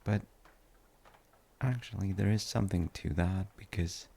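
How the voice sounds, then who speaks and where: Very deep, slow, gravelly dad played by a man. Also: slight background noise. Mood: soothing, musing. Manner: unhurried, musing, halting with soft trailing phrases. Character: gentle, musing father comforting his tired grown child. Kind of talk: soft, rambling sleep-aid audio roleplay.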